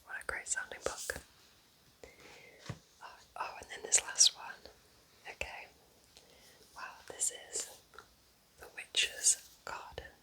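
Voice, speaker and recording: A woman whispers breathily in a gentle, chatty ASMR roleplay as a kind witch at home in her cabin. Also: slow pace, pauses often, poor audio. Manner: gentle, chatty, informal asides.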